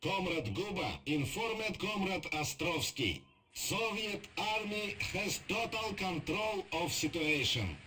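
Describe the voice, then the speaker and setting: Stiff propaganda newsreader, Russian accent, slow halting English, high voice, formal video game radio bulletin. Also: male voice, very animated, sharp tone, slight background noise, heavily acted. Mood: officious.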